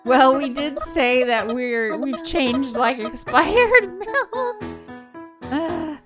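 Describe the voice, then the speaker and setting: Woman, game host reading story text expressively with casual giggly asides, mid-pitched, over clear background music. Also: animated, slow pace. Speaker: let's play host reading a visual novel aloud. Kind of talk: expressive read-aloud narration with casual commentary.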